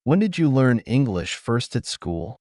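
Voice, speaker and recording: Lively-voiced man asking exam questions. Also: medium pitch, no room sound, studio quality. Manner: formal, measured questioning. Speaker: examiner and channel host.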